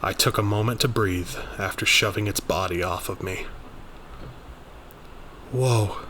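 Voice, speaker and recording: A man's voice: sits low, steady tone, brisk, bright, forceful tone, a little hiss or hum. A man reading a scripted first-person horror story as its narrator.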